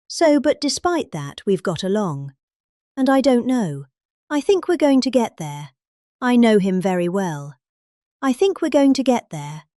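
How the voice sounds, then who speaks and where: A middle-aged British woman delivers a political speech as a theatrical reading. Her intonation is lively, rising and falling widely with exaggerated emphasis. She sounds close and dry, with no room around her voice. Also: medium pitch, no room sound. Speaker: reader of a speech.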